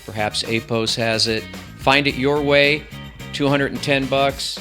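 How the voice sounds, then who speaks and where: A man's voice: medium pitch, fairly even intonation, a little slow, music in the background. A man giving a casual, rambling product review as a channel host, with wry asides.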